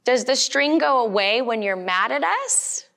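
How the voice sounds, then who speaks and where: A curious little storybook boy, voiced by a woman reader, asking wide-eyed questions. The pitch is lifted and the pace stays unhurried. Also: expressive, gravelly, fairly bright tone, full character voice.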